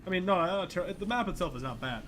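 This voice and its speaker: A man's voice: high-pitched, expressive, quick pace, fairly bright tone, a little hiss or hum. Nasal, congested young man chatting. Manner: rambling, chatty, self-deprecating. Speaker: gameplay commentator. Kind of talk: casual gameplay commentary.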